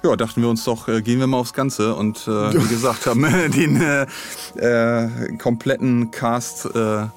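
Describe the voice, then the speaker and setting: A man's voice: mid-range voice, fairly even intonation, unhurried, hardly any pauses, soft background music. A man co-hosting a podcast in casual chat, rambling along with dry humour in a studio. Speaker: podcast co-host. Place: recording studio.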